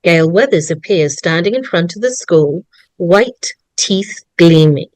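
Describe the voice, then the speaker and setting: A woman's voice: fairly low pitch, lively intonation, measured pace, no echo. Woman narrator reading screenplay directions.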